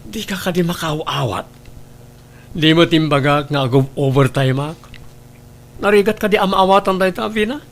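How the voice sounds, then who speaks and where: A man's voice: on the high side, moderate pace, gravelly, pauses often. A man with an Ilocano Filipino accent acting an evasive, defensive husband in an audio drama. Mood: defensive, irritated. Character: evasive husband hiding an affair, defensive with his wife.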